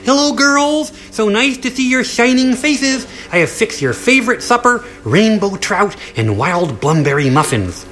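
A woman voicing a prim, fussy storybook mother in a high, sing-song tone. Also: sing-song, measured pace, few pauses, fairly bright tone. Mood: sweet.

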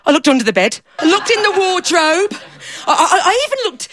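A man's voice: very high-pitched, sing-song, a little fast, pushed and bright, slight background noise, voice pushed up, full character voice. A woman voicing a bewildered, childlike man who believes he has lost himself. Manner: earnest, childlike, wide-eyed.

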